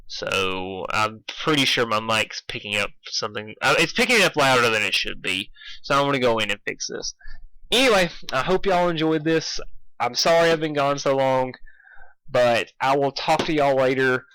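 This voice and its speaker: A man's voice: medium pitch, expressive, normal speed, bright, forceful tone, clean tone, no echo, poor audio. A young man narrating a fan story, informal, stumbling over words and correcting himself, with casual asides. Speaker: amateur fan fiction reader.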